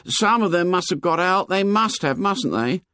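A man's voice: high-pitched, lively intonation, moderate pace, bright, forceful tone, clear, resonant tone, no echo, clean studio sound, voice pushed up, heavily acted. A man voicing an anxious, talkative young schoolboy with colloquial, ungrammatical speech in an audiobook. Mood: anxious, insistent. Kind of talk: audiobook character dialogue.

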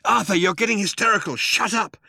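A man voicing a glib, nervy, quick-thinking male traveller in an audiobook, with an excitable, coaxing manner. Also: high voice, dry recording, voice pushed up. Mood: exasperated. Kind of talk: audiobook character voice.

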